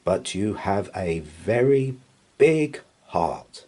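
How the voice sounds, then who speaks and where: A big, kindly male bear from a bedtime story, voiced by a man. He talks tenderly and very slowly. The tone is mellow and gentle. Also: low voice, sing-song, exaggerated voice. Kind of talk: children's storybook character voice.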